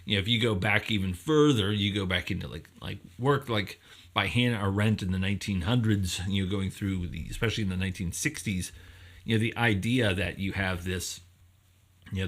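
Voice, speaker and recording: A man's voice: sits low, normal speed, fairly bright tone. A man giving an informal explanatory lecture as a professor, conversational and thinking aloud, posing rhetorical questions as he goes.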